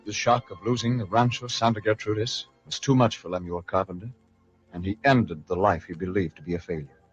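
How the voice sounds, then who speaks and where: A man with a husky voice delivering scripted narration in an old-time radio style. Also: on the low side, brisk, faint music behind. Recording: muffled vintage radio recording.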